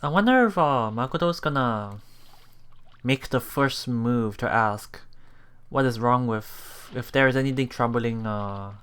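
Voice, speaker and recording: A man's voice: mid-range voice, expressive, measured pace, a bit bright, smooth. A man hosting a let's play, reading visual novel text aloud with casual, musing commentary. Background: game music.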